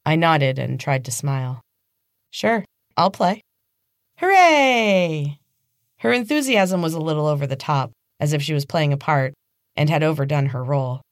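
A woman narrates fiction in a dramatic audiobook style. Her voice sits low for a woman. The recording is dry, with no room sound around her. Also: fairly quick, no echo. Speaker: podcast host and author reading own novel.

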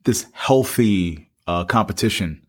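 Male podcast co-host with a low voice, conversational and emphatic, addressing the audience and doubling phrases. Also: lively intonation, unhurried.

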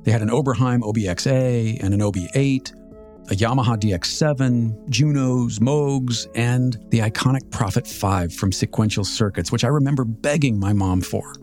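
A man in his fifties with an American accent, telling a scripted personal story as a podcast host in a measured, reflective, articulate way. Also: mid-range voice, a little mellow. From Southern California, United States. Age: 50s. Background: music.

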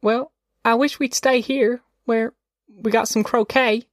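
Man doing a young girl, high lifted pitch, restless tattler, folksy grammar, audiobook character. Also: fairly even intonation, a little slow, no echo, studio quality. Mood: wistful. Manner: folksy, ungrammatical child talk.

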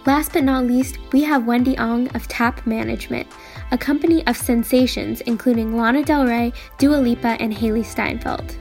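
A woman's voice: on the high side, steady tone, normal speed, creaky, darkish tone, faint music behind. A woman moderating a panel, reading scripted introductions of the guests in an upbeat way.

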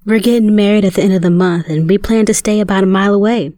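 A woman acting an excitable, supportive, newly engaged sister in an audio drama, chatty and eager. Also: medium pitch, quick pace, no room sound, studio quality, natural voice. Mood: excited.

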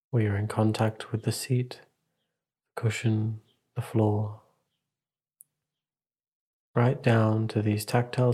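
A male meditation teacher guides a practice in a calm, measured voice. His delivery is even and nearly level in pitch, with a slightly husky grain. He leaves long pauses between short instructive phrases.

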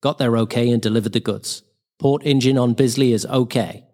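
A man's voice: medium pitch, fairly even intonation, a little fast, a little mellow, natural voice. A man voicing a wry wartime airman's diary in clipped, telegraphic phrases. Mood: matter-of-fact. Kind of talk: diary reading. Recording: long hall-like reverb.

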